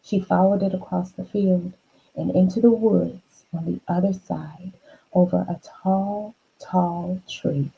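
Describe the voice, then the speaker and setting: A woman reading a children's storybook aloud, unhurried, with dramatic emphasis and chatty asides to the listener. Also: on the low side, fairly even intonation, gravelly, slightly rough, lo-fi sound.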